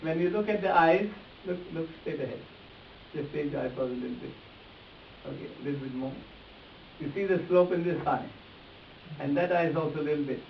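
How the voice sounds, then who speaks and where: A man's voice: a little high, fairly quick, gravelly. A man teaching face reading in a live demonstration, addressing volunteers directly and repeating his instructions as he goes. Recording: echoey, distant mic, dull sound with hiss.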